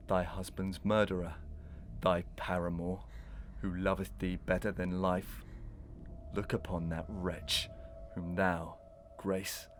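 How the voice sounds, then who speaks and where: An actor playing a bitter condemned husband, menacing and declamatory, in a Victorian melodrama for audio. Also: male voice, low voice, a little hiss or hum. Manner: declamatory, archaic, dramatic speech.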